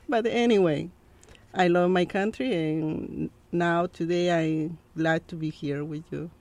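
A woman from Peru in her thirties with a Peruvian Spanish accent, a guest in an interview, speaking halting English with many fillers. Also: on the low side, darkish tone, dry recording. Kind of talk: interview conversation.

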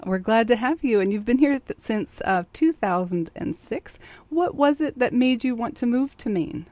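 A woman's voice: on the high side, expressive, moderate pace, darkish tone, no echo. A woman hosting a community interview show, asking friendly, encouraging questions. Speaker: host.

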